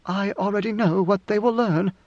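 A man's voice: high-pitched, moderate pace, darkish tone, no echo, highs cut off, voice pushed up, close to an everyday voice. A man voicing a devoted, anxious young woman in a storybook reading, earnest, pleading and deferential. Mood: earnest, reverent.

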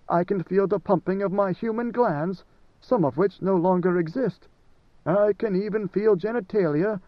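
A man's voice: high voice, no room sound, pitched above the performer's own voice. A man voicing an ancient, tormented God Emperor who speaks with anguish and command. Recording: dry, dull lo-fi sound.

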